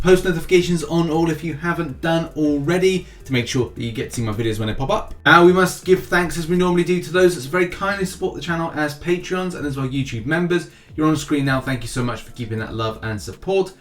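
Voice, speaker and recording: A man's voice: a little high, fast talker, few pauses, a bit bright. A man hosting a gaming news update for his viewers in a casual, chatty style with jokey asides and emphatic, sing-song delivery. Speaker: host of a mobile game news channel. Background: background music.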